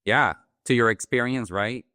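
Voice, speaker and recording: A man's voice: mid-range voice, normal speed, sharp tone, clear, resonant tone, no echo, clean studio sound. A man with a Puerto Rican accent co-hosting a conversation about mental health, earnest and reflective as he thinks aloud. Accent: Puerto Rican Spanish. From Puerto Rico. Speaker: podcast co-host. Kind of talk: therapy-informed conversation.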